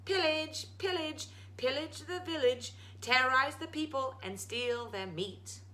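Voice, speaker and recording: A woman's voice: high-pitched, measured pace, bright, forceful tone, pitched above the performer's own voice. A woman voicing a desperate, reluctant enchanted female harp in a storybook, in a sing-song, pleading manner. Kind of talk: storybook read-aloud.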